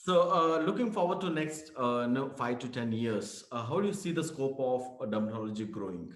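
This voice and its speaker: A man's voice: mid-range voice, lively intonation, normal speed. A man hosting a conversational interview, phrasing things in a hesitant, roundabout way. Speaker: interviewer, host. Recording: echoey room sound.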